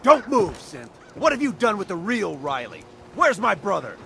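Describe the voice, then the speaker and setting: A man's voice: sits high, big pitch swings, normal speed, gravelly, exaggerated voice. An actor playing a paranoid, frantic male townsman hurling accusations in a video game. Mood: frantic accusing. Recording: strong background noise.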